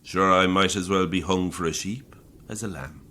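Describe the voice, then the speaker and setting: Man acting a deep-voiced, menacing Irish priest. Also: background noise, lightly acted. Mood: gloating. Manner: deliberate, sardonic, conversational confession. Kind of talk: audio horror drama acting.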